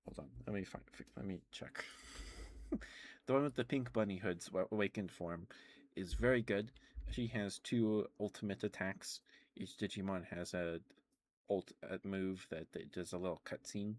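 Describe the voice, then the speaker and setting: A very deep-voiced man rambles softly about games for an ASMR stream. He hesitates often and restarts his sentences, with soft laughs and bits of whisper. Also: normal speed, a little hiss or hum. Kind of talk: rambling ASMR game chat.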